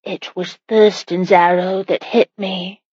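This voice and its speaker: Woman acting a husky, pleading young medieval wife. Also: fairly low pitch, flat delivery, takes it slowly, a little mellow, no echo, muffled recording, voice pushed up. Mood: tender, pleading.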